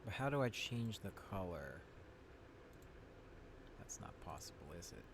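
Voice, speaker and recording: A man's voice: on the low side, sing-song, unhurried. A man streaming as he follows a tutorial, muttering short, wry, casual remarks to himself. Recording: noisy room with clicking.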